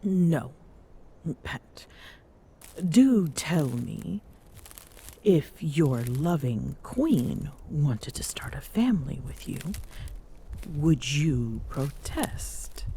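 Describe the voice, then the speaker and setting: A woman playing a regal, smitten Amazonian queen in an intimate ASMR romance roleplay, speaking formally and softly with coy pauses, often near a whisper. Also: deep voice, big pitch swings, vocal fry, a little hiss or hum, heavily acted. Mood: tender, teasing. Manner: formal, haughty diction with coy hesitations and murmured endearments.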